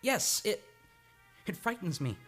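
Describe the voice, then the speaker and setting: A woman playing a tormented, frightened amnesiac man in a radio drama, halting and repetitive, with a raspy edge and frantic bursts. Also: mid-range voice, very animated, fairly bright tone, faint music behind, exaggerated voice. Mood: frightened, irritable. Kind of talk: old-time radio drama acting.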